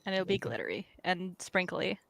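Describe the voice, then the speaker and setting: A woman's voice: on the low side, very animated, moderate pace, vocal fry, fairly bright tone, slight background noise. A woman chatting casually with a playful, mock-serious way of joking. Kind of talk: casual banter.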